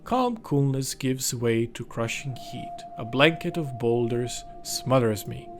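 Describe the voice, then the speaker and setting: A man's voice: medium pitch, animated, takes it slowly, background noise. A man presenting a video game, alternating between commentary and reading its story text aloud. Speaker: gaming channel host. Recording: music under the voice. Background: game music.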